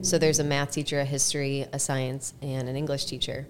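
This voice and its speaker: A woman's voice: extremely low pitch, flat delivery, fairly quick, noisy background. A woman guest on a podcast, a former teacher, telling stories in a chatty way with many fillers. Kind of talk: casual interview storytelling.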